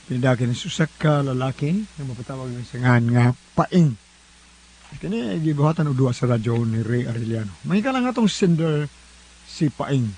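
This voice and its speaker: A man's voice: medium pitch, animated, normal speed, a little mellow, husky, poor audio. A man hosting a radio drama program, giving a casual, jokey introduction to listeners.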